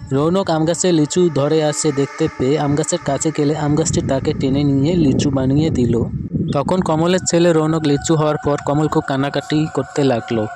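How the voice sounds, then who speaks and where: A man's voice: fairly high pitch, background noise. A man reading scripted storybook narration for cartoon tales.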